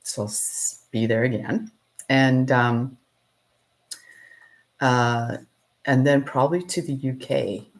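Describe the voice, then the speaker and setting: Low, gravelly-voiced woman chatting live. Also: takes it slowly, pauses often, rough recording. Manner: relaxed, laughs often, thinks aloud while working. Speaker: potter and channel host. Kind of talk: casual live-stream chat.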